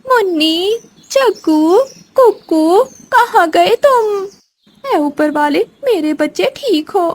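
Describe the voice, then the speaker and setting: A woman's voice: very high-pitched, expressive, slow, no room sound, heavily acted. A woman voicing a lazy mother crow in a children's cartoon, whiny and pleading in a dramatic way. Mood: worried. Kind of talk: Hindi children's cartoon voice acting.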